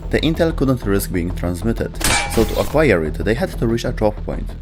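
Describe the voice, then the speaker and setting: A man with a fairly low voice delivers scripted narration for a game lore video. He speaks as a storyteller over a music bed. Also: sing-song, moderate pace.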